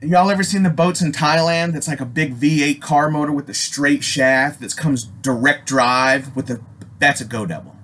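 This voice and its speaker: A man from Alaska with an American accent telling a personal story casually, in an animated, rambling, slangy way full of swearing. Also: on the high side, normal speed. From Alaska, United States. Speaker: storyteller, host. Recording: noisy amateur recording with ambient sound.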